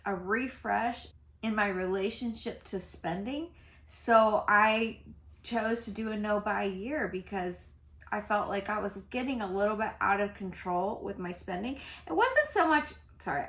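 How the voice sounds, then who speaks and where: Woman, fifties, chatty, fairly high voice, echoey room. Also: a bit bright. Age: 50s. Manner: chatty, laughs often. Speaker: fashion vlogger. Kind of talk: casual chat to camera.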